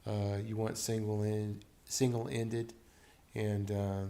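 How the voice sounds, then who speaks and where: A man with a fairly low, very even voice talks through a hands-on technical demo without a script, feeling his way haltingly from phrase to phrase. The sound is noticeably roomy. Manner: halting, hesitant, improvised explaining. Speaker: electronics hobbyist demonstrating equipment. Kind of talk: unscripted technical demonstration. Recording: roomy echo, dull muffled sound.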